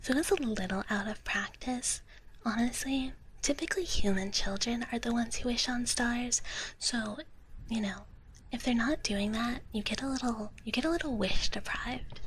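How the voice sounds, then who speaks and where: A woman streaming live ASMR in a soft, breathy whisper close to the mic, chatting casually and playfully in a gentle dragon-girl persona. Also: on the high side, normal speed, low-quality recording. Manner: playful, in character as a gentle wish dragon, often speaking of herself in third person. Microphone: close ASMR microphone. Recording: clearly audible background noise.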